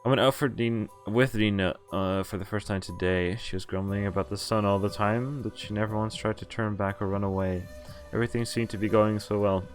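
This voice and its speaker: A man streaming a visual novel, reading the text aloud and breaking off haltingly into muttered casual commentary. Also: lowish voice, steady tone, faint music behind. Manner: halting, often pausing to mutter asides. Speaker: game streamer.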